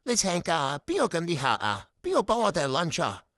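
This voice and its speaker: A woman's voice: fairly low pitch, huge pitch swings, fairly quick, a bit bright, no echo, clean studio sound, heavily acted. A woman playing a mean, emotional office boss in a radio drama, scolding and firing off accusatory questions. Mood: indignant, accusing. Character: stingy, emotional, guilt-tripping office boss. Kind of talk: Cantonese workplace radio drama acting.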